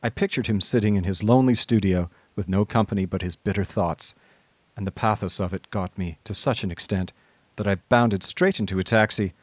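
A man playing a genial young gentleman narrator in a radio drama, telling his story in old-fashioned conversational style. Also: lowish voice, fairly quick, no echo, close to an everyday voice. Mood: wry recollection. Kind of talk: scripted first-person radio drama narration.

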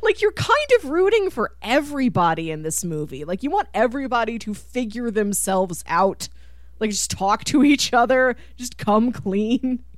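A woman's voice: a little high, sing-song, moderate pace, no room sound, clean studio sound. A woman co-hosting a podcast, talking casually about a film and animatedly voicing what people might be thinking. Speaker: podcast co-host. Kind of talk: casual film discussion chat.

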